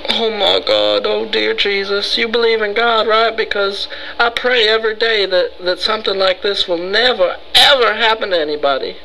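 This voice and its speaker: Male, acted by a man: high-pitched, bright, halting and melodramatic worrier, rambling and pleading, for a comic prank call with clear background noise. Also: heavily acted, rough recording. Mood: distressed, pleading. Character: frightened, rambling, easygoing man reporting a ghost. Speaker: prank caller. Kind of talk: improvised phone prank.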